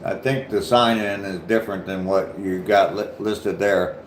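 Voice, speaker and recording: A man from Ohio with a mild southern Ohio accent and a deep voice, chatting casually on his livestream and answering viewers' comments. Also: steady tone, normal speed, reverberant, a little hiss or hum. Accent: southern Ohio, slight Appalachian touch. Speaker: livestream host.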